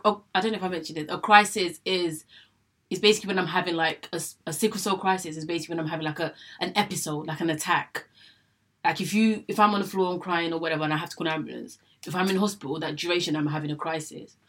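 A young woman from England talking candidly to camera about her own life, chatty, often repeating herself and acting out her past thoughts. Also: on the low side. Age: young adult. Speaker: vlogger sharing her illness story. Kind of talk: candid personal monologue.

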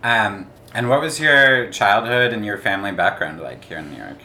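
A male interviewer leads an oral history interview with soft-spoken, casual questions. His voice is fairly low yet bright and forceful in tone, and it rings with roomy echo. Faint background noise sits under it. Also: lively intonation, moderate pace. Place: office indoors.

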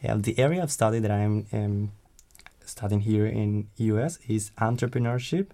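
A man's voice: fairly low pitch, normal speed, mellow. A man from Colombia, an exchange student, introducing himself in a hesitant way with many filler sounds.

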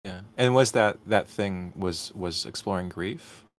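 A man with a deep voice moderates a question-and-answer session. He puts his questions casually and conversationally. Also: measured pace.

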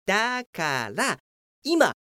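A woman's voice: mid-range voice, very animated, extremely slow, sharp tone, no echo, clean studio sound, exaggerated voice. A woman playing a self-justifying, grumbling male office worker in a comedic radio drama. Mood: defiant, smug. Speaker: voice actor. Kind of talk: radio comedy drama acting with inner monologue.

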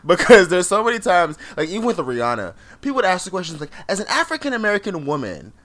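A man's voice: high-pitched, very animated, fast, a bit bright. A man from Jamaica co-hosting a podcast, chatting casually in an emphatic way and laughing often. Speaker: podcast co-host. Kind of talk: casual chat.

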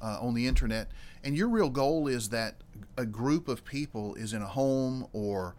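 A man hosting a podcast interview, with a voice of middle pitch. He talks conversationally and affirms his guest as he asks questions. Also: expressive, normal speed, a little mellow. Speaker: podcast host.

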